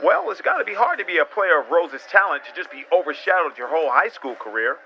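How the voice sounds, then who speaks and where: An actor playing a measured color commentator in an audio drama, giving analysis of a high school basketball game. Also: male voice, big pitch swings. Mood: analytical. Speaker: sports commentator. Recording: dull radio-style broadcast with faint noise.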